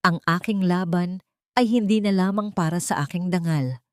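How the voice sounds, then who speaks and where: Woman, audiobook narrator, lowish pitch, solemn deliberate storytelling, scripted drama, very dry recording. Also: no room sound.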